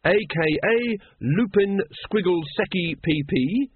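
A man's voice: high-pitched, lively intonation, narrow, tinny audio, slight background noise, voice pushed up. A man voicing an earnest young watchman who recites formal police language stiffly in a story. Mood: earnest formality. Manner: stiff, official, recited slowly from notes.

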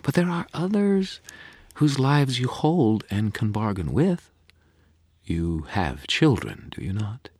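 A man's voice: mid-range voice, big pitch swings, takes it slowly, a little mellow, husky, no echo, voice pushed up, heavily acted. A man voicing a sly, seductive female fairy who purrs and bargains with teasing whispers. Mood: mocking. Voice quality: purring, teasing, whispery at times. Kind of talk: audiobook character voice.

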